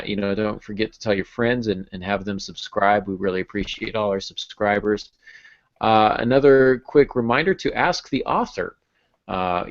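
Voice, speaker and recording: Man, American, lowish pitch, casual podcast host, self-deprecating humor, muffled video call sound. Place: small home room on a video call. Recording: dull, compressed video call audio.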